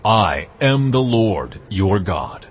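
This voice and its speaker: A man playing God in a dramatised scripture reading, solemn, commanding and measured as he gives laws. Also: on the low side, sing-song, slight background noise. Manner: measured, authoritative, deliberate. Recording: slightly dull audio with music behind. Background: background music.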